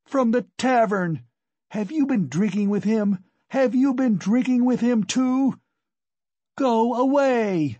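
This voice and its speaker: A man's voice: very high voice, slow, mellow, no echo, muffled recording, pitched above the performer's own voice, exaggerated voice. A man voicing a frenzied, despairing poor wife and mother in an audiobook, crying out accusingly. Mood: furious, despairing. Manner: shrieking, accusing, exclamatory. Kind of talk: dramatic audiobook character acting.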